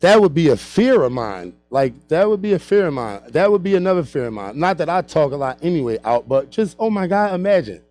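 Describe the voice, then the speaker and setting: A man's voice: fairly high pitch, big pitch swings, a little fast, hardly any pauses, darkish tone, faint music behind. A man co-hosting a radio talk show, rambling, cursing freely and repeating himself. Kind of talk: casual chat.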